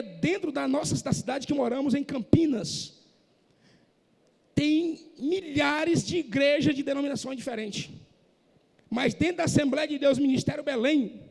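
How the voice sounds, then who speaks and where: A man preaching a sermon in a church, impassioned and rising to shouts, from northeastern Brazil. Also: very high-pitched, creaky. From Northeast Brazil, Maranhão. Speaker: pastor. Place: church hall. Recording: echoey church hall.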